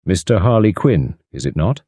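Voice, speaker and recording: A man voicing a fussy, excitable little elderly English gentleman with a prim, eager manner. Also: sits low, normal speed, no echo, studio quality. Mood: excited.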